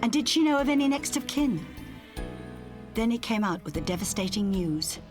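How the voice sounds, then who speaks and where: A woman's voice: a little high, animated, normal speed, music in the background. A woman reading a scripted ghost story as an audiobook narrator, in a dramatic, suspenseful storytelling manner.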